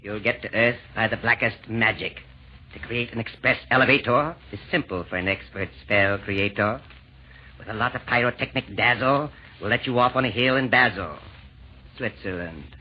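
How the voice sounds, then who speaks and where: A man's voice: fairly low pitch, normal speed, close to an everyday voice. An actor playing a scheming chairman of villains in an old radio comedy, speaking in formal declaimed rhyme. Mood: grand, scheming. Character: smooth-scheming male chairman of hell's villains. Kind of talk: 1940s radio comedy acting. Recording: muffled, noisy old-time radio recording.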